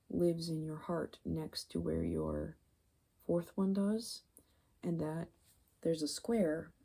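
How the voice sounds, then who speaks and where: A woman speaking softly in a rambling, casual ASMR style, musing and halting mid-thought, often slipping into a whisper. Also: low voice. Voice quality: very creaky vocal fry. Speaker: ASMR video creator.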